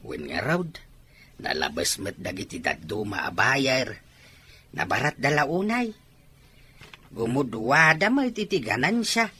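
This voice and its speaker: A man playing a patient, weary village fisherman father, resigned and gentle, in a radio drama. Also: mid-range voice, very animated, a little slow, long pauses. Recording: clearly noisy, outdoor ambience behind.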